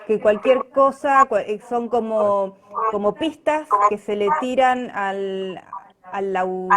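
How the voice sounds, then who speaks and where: A woman's voice: medium pitch, slow pace, a bit bright. An Argentine woman, an actress being interviewed, talking casually and tentatively, often checking for agreement with little tag questions. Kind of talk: casual live conversation.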